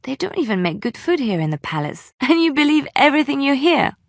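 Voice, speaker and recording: An actress voicing a gentle, devout young woman adventurer in a video game, soft-spoken and earnest, by turns wistful and playful. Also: sits high, very animated, fairly quick, creaky, no echo, studio quality, exaggerated voice. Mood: teasing. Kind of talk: video game voice acting, intimate dialogue.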